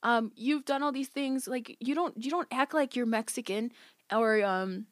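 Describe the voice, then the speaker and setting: A woman's voice: a little high, moderate pace, dry recording, studio quality. A young woman whose first language is Spanish, a podcast guest talking casually, chatty and giggly with many filler words. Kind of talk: casual conversation.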